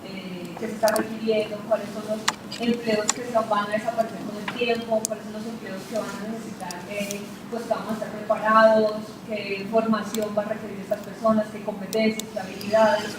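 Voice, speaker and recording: A woman's voice: mid-range voice, level tone, brisk. Woman answering from audience, very echoey hall. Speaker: audience member. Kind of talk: answering a speaker's question. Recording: echoey hall, far from mic, noisy.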